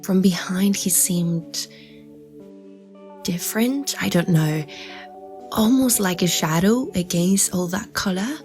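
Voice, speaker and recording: A woman's voice: lowish voice, slow, pauses often, darkish tone, soft background music. A woman playing a wistful woman confiding a mysterious memory in an intimate, hesitant audio drama style.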